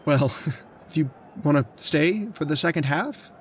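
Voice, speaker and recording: A man's voice: fairly high pitch, animated, a little slow, a little hiss or hum. A man acting in an old time radio drama as an earnest, talkative suitor with a hesitant, stammering delivery. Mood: chatty, casual. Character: talkative, earnest male suitor, a lovestruck hunter.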